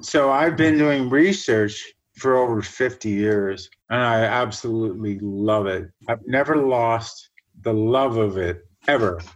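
A man in his late sixties, born in Germany, talks through his life and work at an unhurried, reflective pace. His voice sits in a middling range and comes through a slightly dull, lo-fi line. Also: medium pitch. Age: 60s. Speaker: guest scientist. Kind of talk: podcast interview.

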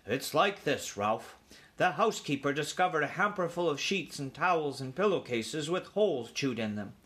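A man's voice: a little high, lively intonation, normal speed, a bit bright. A man voicing a cautious, self-important uncle mouse in a storybook, stern and grumbling. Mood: grave, informative.

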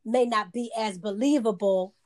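A woman with a naturally high-pitched voice, co-hosting a casual chat and talking earnestly with emphasis. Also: normal speed, darkish tone.

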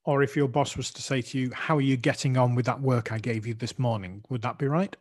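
Man hosting a slow English lesson podcast. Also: medium pitch, fairly even intonation, darkish tone. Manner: explains slowly, spells out words. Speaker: podcast host, English teacher. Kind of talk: language lesson podcast chat.

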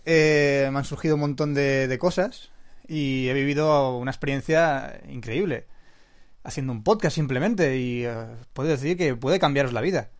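A man's voice: mid-range voice, moderate pace. A man with a Spanish accent co-hosting a podcast in jokey casual chat. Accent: Spanish from Spain. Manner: jokey, mock-philosophical. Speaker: podcast co-host.

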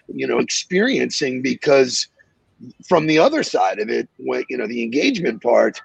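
A man co-hosting an interview show, asking questions in a measured, storytelling way. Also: medium pitch, gravelly, husky, no room sound. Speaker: co-host. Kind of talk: casual interview chat. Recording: dull, dry lo-fi audio.

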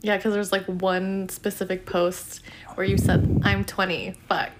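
Woman, 30s, mid pitch, playful teasing co-host. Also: flat delivery, a little slow, very noisy. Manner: teasing, laughs often. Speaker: podcast co-host. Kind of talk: casual chat.

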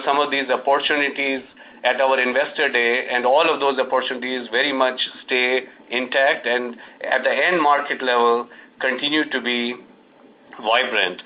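A man speaking as a company executive on an earnings call, answering in a halting, measured, explanatory way. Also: medium pitch. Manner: halting, measured corporate explaining with frequent pauses. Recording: dull lo-fi conference call audio.

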